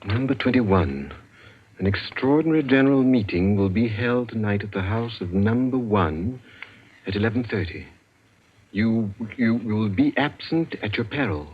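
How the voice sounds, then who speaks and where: An actor playing a wary, secretive, dryly ironic man in a 1940s radio thriller, speaking deliberately as if to himself. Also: on the low side, expressive, breathy edge. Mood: reading gravely. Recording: noisy, muffled old radio broadcast.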